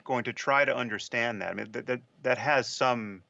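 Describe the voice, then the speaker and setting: Male podcast voice, measured and careful, bright with fry, lo-fi clip. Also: medium pitch, no echo. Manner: measured, careful, frequent restarts. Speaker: podcast discussion participant. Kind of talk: thoughtful conversation.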